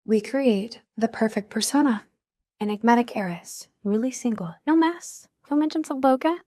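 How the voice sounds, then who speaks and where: A shrewd female publicist played by a woman in an audio drama. She pitches her schemes in punchy phrases, confident and persuasive, in a fairly high voice with no room echo. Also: lively intonation, normal speed, mellow, studio quality, lightly acted. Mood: confident, plotting.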